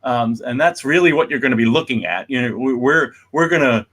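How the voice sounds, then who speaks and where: A man hosting an event with an informal introduction, speaking in a halting, rambling way. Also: mid-range voice, animated, fairly bright tone. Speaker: host, museum executive director.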